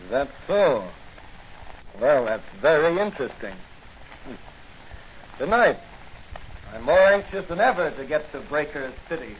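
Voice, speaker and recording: Calm, assured young American lawman, 1940s radio style, dull recording with light hiss. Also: a little high, unhurried, pauses often. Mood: inquisitive, polite. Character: calm, confident young male police hero.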